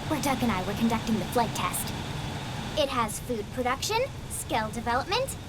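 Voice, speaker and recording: Perky young female game engineer played by a woman, high animated voice, chirpy tour-guide chatter. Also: moderate pace, natural voice. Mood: chatty, upbeat. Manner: chirpy, playful, informal. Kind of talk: video game cutscene voice acting. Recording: loud music behind.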